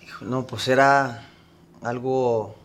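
A young man, the eldest son, recalling his childhood as an interview guest in a hesitant, soft-spoken way. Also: mid-range voice, fairly even intonation, takes it slowly. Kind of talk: interview, recollection.

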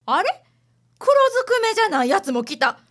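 A woman's voice: extremely high pitch, very animated, brisk. A woman playing an eager, curious client with a Kansai accent in a radio drama. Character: eager, curious female client tagging along. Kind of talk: community radio drama acting.

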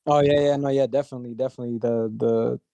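A young man, a guest on a podcast, talking casually in a soft-spoken, humble way with many restarts. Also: medium pitch, steady tone, fast, creaky, dry recording. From Dallas, Texas. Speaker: guest, brand founder. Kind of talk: casual interview chat.

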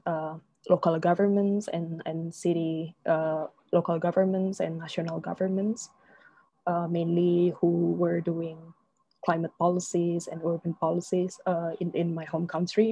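A woman from Indonesia, a researcher answering interview questions in a measured way with many filler pauses. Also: fairly low pitch, warm tone, no echo, muffled recording. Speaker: guest researcher.

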